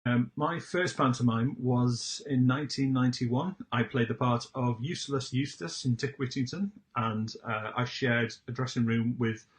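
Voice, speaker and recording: A man's voice: medium pitch, fairly even intonation, moderate pace, hardly any pauses. A middle-aged man who performs in pantomime, casually reminiscing.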